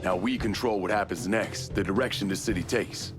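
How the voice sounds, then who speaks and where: An actor playing a cool, commanding young crime boss in a video game, speaking in measured, resolute, terse lines. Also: male voice, fairly low pitch, natural voice. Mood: confident. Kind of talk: video game cutscene acting. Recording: music behind the voice. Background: music.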